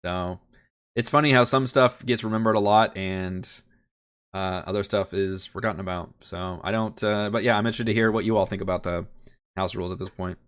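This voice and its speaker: A man with a somewhat low voice sounds nasal and congested from a head cold. He talks loosely and thinks aloud as a game master on a livestream, with frequent fillers and restarts. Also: steady tone, a little fast, a bit bright, clear, resonant tone. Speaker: game master and channel host. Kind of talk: casual livestream Q&A.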